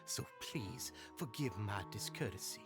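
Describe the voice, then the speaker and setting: An actor playing a smooth-talking male enforcer with oily, ingratiating courtesy. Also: lowish voice, expressive, measured pace. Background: game music.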